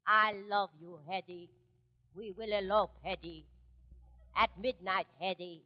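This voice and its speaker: Woman doing a low, smouldering French male lover. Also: fairly even intonation, a little slow, lightly acted. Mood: seductive. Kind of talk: 1940s radio comedy impression. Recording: muffled old radio broadcast, reverberant hall.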